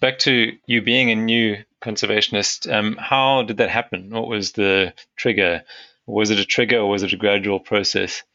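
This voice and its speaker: Podcast host asking curious questions, low crisp voice, dry lo-fi sound. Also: male voice, steady tone, normal speed, sharp tone, dry recording. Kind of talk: interview questions. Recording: dry, dull lo-fi audio.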